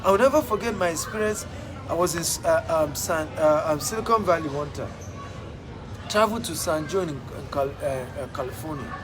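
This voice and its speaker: A man's voice: a little high, expressive, moderate pace, background noise. A man with a Nigerian accent giving a motivational talk, emphatic and repeating his phrases for effect. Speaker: motivational speaker.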